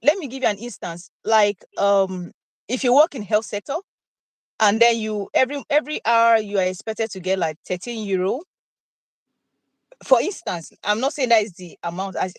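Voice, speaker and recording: A woman's voice: medium pitch, no echo. A young woman, a recent nursing graduate, chatting casually and explaining things with examples and lots of fillers. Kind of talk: casual conversational Q&A.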